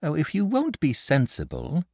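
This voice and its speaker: A man's voice: on the high side, wildly swooping pitch, normal speed, gravelly, darkish tone, dry recording, studio quality, voice pushed up, exaggerated voice. Man doing an earnest, fretful young boy. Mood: exasperated.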